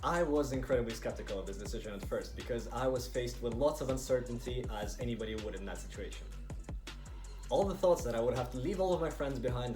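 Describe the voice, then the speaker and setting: A young man from Estonia narrates a motivational video at a brisk clip. His delivery is earnest and emphatic, and faint music plays behind him. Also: mid-range voice. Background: background music.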